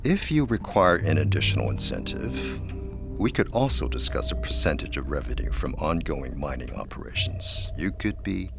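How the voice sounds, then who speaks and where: A man playing a suave, calculating man of wealth in a period audio drama, formal and smoothly insinuating. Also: extremely low pitch, very animated, normal speed, over music, exaggerated voice.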